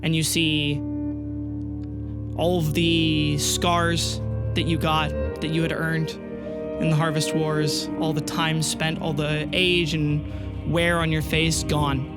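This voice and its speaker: A man with a somewhat high voice sets each scene as game master, talking very slowly and leaving long pauses. Clear music plays behind him the whole time. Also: flat delivery. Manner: slow, measured, full of pauses. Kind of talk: slow descriptive scene narration. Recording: loud music bed.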